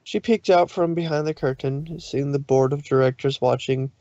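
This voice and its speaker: A man narrating a scripted story, somewhat haltingly, with occasional stumbles over the words. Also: fairly high pitch, fairly even intonation, mellow, dry recording, lo-fi sound.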